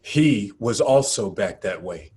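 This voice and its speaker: A man acting a weary, guarded activist in a screenplay table read, subdued, terse and halting. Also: on the low side, expressive, a little mellow. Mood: rattled, deadpan. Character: weary, guarded male animal rights activist.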